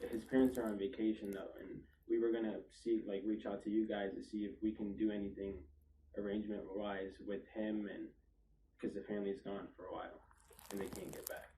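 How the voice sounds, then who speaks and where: A man's voice: fairly low pitch, little pitch movement, a little fast, dark tone. A young man giving a casual account to police as a witness, recorded in a noisy, echoey space away from the microphone. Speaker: witness, friend of people involved. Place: police station lobby.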